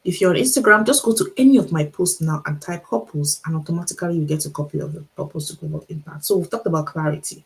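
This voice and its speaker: Nigerian woman with a gravelly low voice. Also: rough recording. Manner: encouraging, repeats key lines, asks rhetorical questions; speaks deliberately so listeners can follow. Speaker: author and motivational speaker. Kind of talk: motivational livestream talk.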